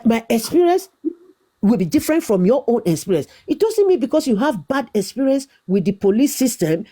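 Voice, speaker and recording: A woman's voice: fairly high pitch, dark tone. A Nigerian woman arguing insistently in a heated debate, repeating herself and talking over interruptions.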